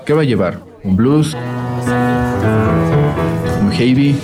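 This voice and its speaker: Man playing a market vendor hawker over loud music. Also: mid-range voice, expressive, slow. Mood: hawking, brisk. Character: street-market vendor hawking music genres to a shopper. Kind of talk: scripted radio station promo.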